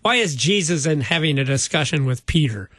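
A man's voice: on the high side, expressive, a little fast, a bit bright, husky, no room sound, studio quality. An older man, a pastor, chatting casually about a Bible passage and chuckling often.

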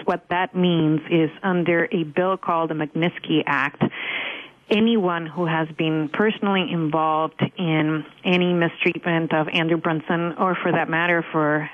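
A middle-aged Cuban American woman with a Cuban accent, answering interview questions earnestly with frequent fillers. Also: low-pitched. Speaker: religious freedom advocate guest. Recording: tinny phone line.

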